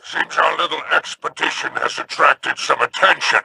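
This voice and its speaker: An actor playing a greedy, smooth-talking male trader in a video game, scheming and self-satisfied. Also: fairly high pitch, fairly even intonation, moderate pace, noisy background.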